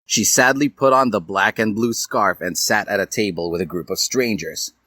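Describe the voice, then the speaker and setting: A man's voice: lowish voice, moderate pace, sharp tone, dry recording. A man reading fan fiction aloud as a theatrical, mock-earnest storyteller.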